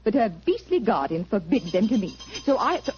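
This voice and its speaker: A woman's voice: medium pitch, very animated, fairly quick, darkish tone, natural voice. An actress playing a kindly, well-bred doctor's wife in a 1940s radio drama, gracious, effusive and motherly. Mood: bright, confiding. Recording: dull 1940s radio recording.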